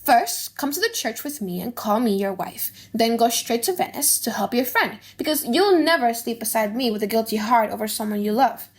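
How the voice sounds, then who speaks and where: A woman's voice: fairly high pitch, animated, normal speed, hardly any pauses. A woman voicing a loving, wealthy young noblewoman in an audiobook play, commanding and reassuring. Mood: brisk, loving. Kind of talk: dramatic audiobook reading of a play.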